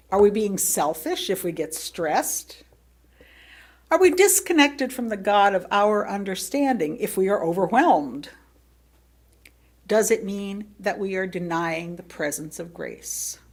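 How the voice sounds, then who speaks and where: Expressive woman reading a reflective sermon. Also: mid-range voice. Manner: reflective, with rhetorical questions and deliberate pauses. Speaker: lay speaker reading a sermon. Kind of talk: sermon read from a prepared text.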